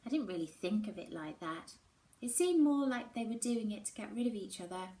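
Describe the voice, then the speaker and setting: A woman's voice: medium pitch, expressive, fairly quick, warm tone, natural voice. A woman reading the part of an anxious, downcast schoolgirl in a storybook, conversational and emphatic. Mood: doubtful. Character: anxious, downcast schoolgirl confiding in her best friend. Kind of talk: storybook character dialogue. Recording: roomy echo with faint background noise.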